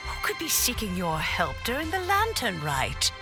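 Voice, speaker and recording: An actress voicing a gently teasing, grandmotherly elderly woman in a game cutscene. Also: medium pitch, wildly swooping pitch, over music. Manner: warm, gently teasing, unhurried.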